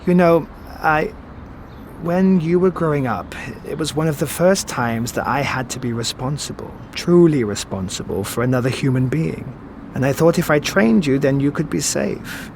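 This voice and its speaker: An actor as a suave, theatrical master thief. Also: male voice, fairly high pitch, moderate pace, a little hiss or hum, close to an everyday voice. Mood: tender. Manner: theatrical, florid, pompous phrasing. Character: suave, sardonic older male master thief, wistful mentor. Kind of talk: audio drama acting. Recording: music behind the voice.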